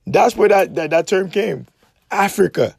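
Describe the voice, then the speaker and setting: A high-voiced man with a heavily gravelly, rough edge, lecturing with insistent emphasis. He hammers his points home and repeats them in a dry, close recording. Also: a little slow, darkish tone, dry recording. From Haiti. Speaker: speaker giving a history talk. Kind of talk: impassioned lecturing monologue.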